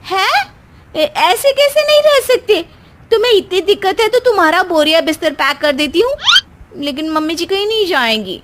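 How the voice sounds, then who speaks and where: A woman's voice: very high voice, animated, normal speed, fairly bright tone, a little hiss or hum, voice pushed up. A woman voicing a feisty, grumbling young Indian daughter-in-law in a cartoon, exclaiming and complaining with indignant drama. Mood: protesting, shocked.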